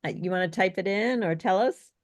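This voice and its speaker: A woman's voice: medium pitch, brisk. A woman speech coach leading an online workshop, explaining and praising participants in an encouraging way. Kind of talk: interactive online workshop teaching.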